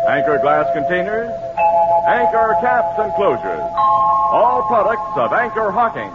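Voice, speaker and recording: Polished American male announcer, very animated, muffled old-time radio over music. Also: sits high. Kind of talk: 1940s radio commercial and credits announcing.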